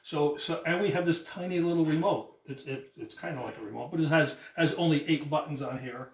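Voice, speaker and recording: A man explaining and demonstrating informally as a guest, often halting and repeating words as he goes. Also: on the high side, a little hiss or hum. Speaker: guest explaining the church bell system. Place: inside a church, roomy. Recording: echoey room, distant mic, music behind.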